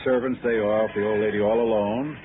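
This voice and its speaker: A man's voice: lowish voice, fairly even intonation, brisk, natural voice. An actor playing a harried, exasperated American insurance company man in a 1960s radio drama, impatient and grumbling. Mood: exasperated, wry. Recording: noisy, tinny old radio broadcast.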